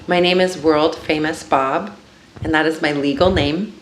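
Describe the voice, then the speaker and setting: A woman's voice: deep voice, fairly even intonation, a little slow, noisy background. A woman from California who performs drag, telling her own story candidly in an interview. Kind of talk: personal interview testimony. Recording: echoey room.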